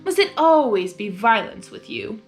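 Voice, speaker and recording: A woman voicing a mocking, pompous male chaos creature, taunting in a drawn-out theatrical way. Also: on the high side, sing-song, faint music behind. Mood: smug mockery.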